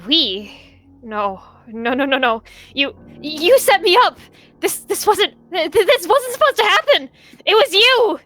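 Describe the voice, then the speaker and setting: A woman voicing a distraught, furious female warrior cat who shouts in desperation and breaks into cries. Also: very high voice, very animated, measured pace, soft background music, voice pushed up, heavily acted. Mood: anguished. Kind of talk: voice-acted roleplay dialogue.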